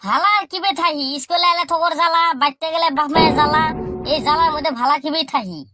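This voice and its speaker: Cheeky cartoon schoolkid played by a performer, high voice, mocking and argumentative, music underneath. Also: quick pace, close to an everyday voice. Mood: mocking, grumbling. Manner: bickering, mocking, rustic slangy talk. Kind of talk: comedy cartoon dialogue in colloquial Bangla.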